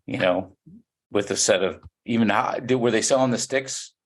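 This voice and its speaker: A man's voice: fairly low pitch, normal speed. A man co-hosting a podcast, asking friendly questions with frequent stumbles and restarts. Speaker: podcast co-host. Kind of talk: casual podcast chat.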